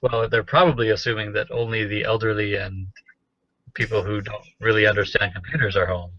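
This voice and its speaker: A man's voice: lowish voice, little pitch movement, a little fast. A man chatting casually and wryly as a podcast co-host, telling anecdotes. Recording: dull, lo-fi captured call audio.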